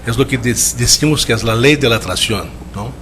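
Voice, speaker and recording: A man from Brazil speaking with a strong Brazilian Portuguese accent, giving a measured, reflective conference talk and often restarting as he searches for words. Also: medium pitch, fairly bright tone, slight background noise. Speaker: guest lecturer. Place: lecture hall.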